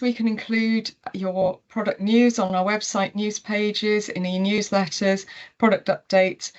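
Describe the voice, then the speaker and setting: A woman giving a webinar presentation on a video call, steady and pausing with frequent ums. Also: fairly low pitch, highs cut off. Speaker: tourism marketing presenter.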